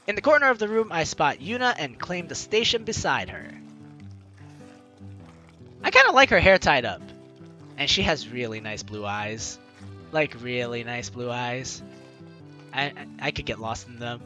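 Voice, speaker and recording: Lively, bright young woman reading a game. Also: extremely low pitch, moderate pace, pauses often, soft background music. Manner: playful, theatrical reading, chatty asides. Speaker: gaming YouTuber, host. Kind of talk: let's play, reading game text aloud with commentary.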